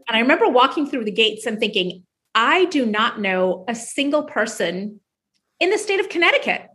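Jamaican lady doctor on a podcast, kinda high voice, lively storyteller. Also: moderate pace. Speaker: guest physician. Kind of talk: storytelling in an interview.

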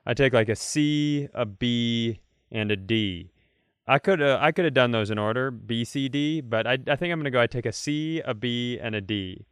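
A man's voice: mid-range voice, moderate pace, clear, resonant tone, no room sound. A man co-hosting a comedy podcast, telling rambling, self-mocking stories in casual, playfully exaggerated banter.

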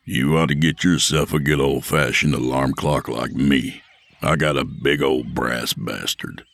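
A man voicing a surly, menacing male bully who talks low, slow and threatening. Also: creaky, fairly bright tone, no room sound, exaggerated voice. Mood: boastful.

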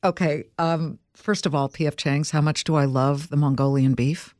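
A woman's voice: deep voice, steady tone, normal speed, a little mellow, dry recording. A woman hosting an interview podcast, chatty and effusive as she introduces and questions her guest. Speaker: podcast host. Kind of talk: casual interview chat.

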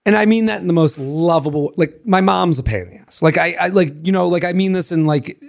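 A man co-hosting a casual comedic talk show, commenting in a blunt, teasing and animated way. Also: sits high, a little fast, vocal fry. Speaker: podcast co-host.

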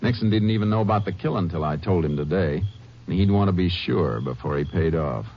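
Low-voiced radio western Texas Ranger. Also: male voice, lively intonation. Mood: assured. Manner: measured, assured, plain-spoken. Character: calm, shrewd male Texas Ranger lawman. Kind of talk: 1950s radio western acting and narration. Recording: muffled old radio recording with music.

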